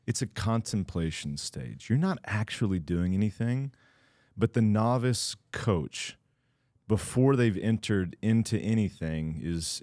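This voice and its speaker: A man's voice: on the low side, darkish tone, dry recording, studio quality. A man hosting a podcast, teasing his co-host and then laying out his ideas in long stretches of casual talk. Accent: American.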